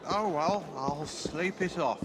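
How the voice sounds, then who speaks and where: A man's voice: on the high side, takes it slowly, noisy background. An actor playing a drunken, whiny Victorian man in a video game cutscene, slurred and theatrical. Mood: merry, drunk.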